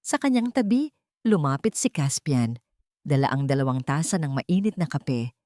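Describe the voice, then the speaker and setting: Woman, Filipino accent, lowish pitch, scripted dramatic storytelling, audiobook narrator, dry recording. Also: sing-song, normal speed, warm tone, dry recording.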